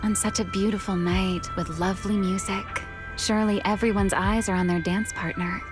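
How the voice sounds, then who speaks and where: A woman's voice: mid-range voice, steady tone, normal speed, lightly acted. A woman playing a composed, teasing young woman fighter with a hidden past, in theatrical video game acting. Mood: teasing calm. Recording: music under the voice.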